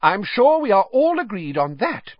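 Man playing a strict old lady professor, sharp and clipped, high voice, flat dry sound. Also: normal speed, no room sound. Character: stern, sharp older female professor. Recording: dry, slightly dull audiobook recording.

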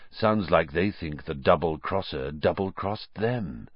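A man's voice: sits low, no echo, highs cut off. A man reading an audiobook story, voicing a bitter, vengeful male fugitive with a growling, hissing, contemptuous delivery. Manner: slow, taunting, contemptuous.

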